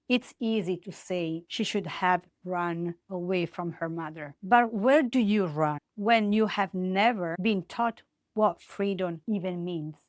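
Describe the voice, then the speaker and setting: True-crime host: woman, Italian accent, native Italian speaker, medium pitch, dramatic clipped phrasing, dry recording. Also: dry recording. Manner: dramatic storytelling in short, separated phrases. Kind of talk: scripted true-crime narration.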